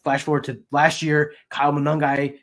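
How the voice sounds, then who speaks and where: A man's voice: a little high, completely flat delivery, brisk. A man co-hosting a sports podcast, giving casual analysis.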